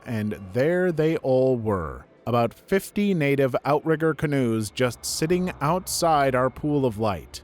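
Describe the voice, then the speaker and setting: A man reading online stories aloud as a narrator, at a steady, even pace. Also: medium pitch. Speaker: story narrator.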